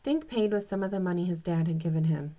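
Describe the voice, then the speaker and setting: A woman teacher reading a children's story aloud to young pupils in an animated, expressive way. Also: lowish voice. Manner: expressive, acts out dialogue, pauses to comment to pupils.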